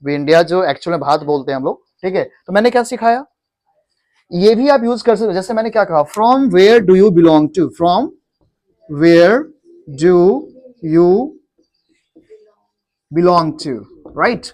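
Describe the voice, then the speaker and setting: A man teaching English in to a class, repeating each phrase slowly for students to echo. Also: sits high, expressive, darkish tone. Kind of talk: classroom English lesson in Hindi.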